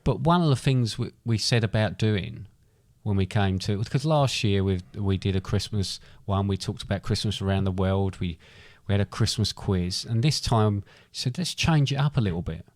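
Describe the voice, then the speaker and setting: Low-voiced British man, very dry close sound. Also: moderate pace, few pauses, a little mellow, no room sound. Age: middle-aged. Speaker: podcast co-host. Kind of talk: casual chat.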